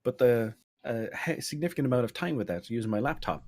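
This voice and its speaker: A man's voice: mid-range voice, fast. A man, a guest streamer, chatting emphatically on a podcast through a budget desk mic. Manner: lively, storytelling, emphatic. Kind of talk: casual podcast chat. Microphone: budget desk mic through a mixer.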